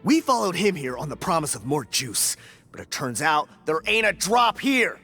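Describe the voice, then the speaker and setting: A bitter hot-tempered escapee. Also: male voice, a little high, sing-song, moderate pace, creaky, pushed and bright, soft background music, exaggerated voice. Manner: sarcastic, combative. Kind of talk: game cutscene voice acting.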